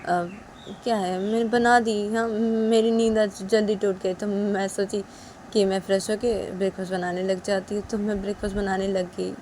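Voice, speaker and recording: Woman playing a gentle middle-aged mother-in-law, coaxing, apologetic, voice raised a little. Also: medium pitch, little pitch movement, normal speed, a little hiss or hum, voice pushed up, close to an everyday voice, low-quality recording. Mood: placating.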